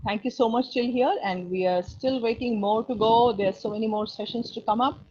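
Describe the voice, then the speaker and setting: Effusive Indian woman teacher, slightly high voice. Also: steady tone, fairly quick, a little mellow. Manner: effusive, repeats phrases for emphasis. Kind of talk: spoken feedback in online class. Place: online video class. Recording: slightly dull online call audio.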